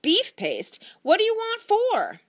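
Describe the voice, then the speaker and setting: A woman voicing mischievous young children who deliberately mishear their parents. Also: extremely high pitch, huge pitch swings, voice pushed up, full character voice. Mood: playful.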